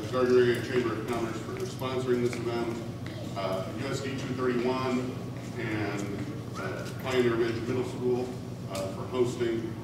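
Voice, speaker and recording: A middle-aged man with a big voice, giving a formal, earnest campaign speech, with frequent "uh" fillers. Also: mid-range voice. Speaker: city council candidate. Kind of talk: campaign speech at a candidate forum. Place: school hall. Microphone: distant, speaking without a microphone. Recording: echoey hall from far off with room noise.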